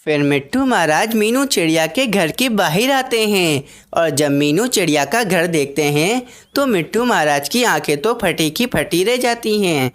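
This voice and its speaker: A woman reading scripted narration for an animated children's story. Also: fairly low pitch.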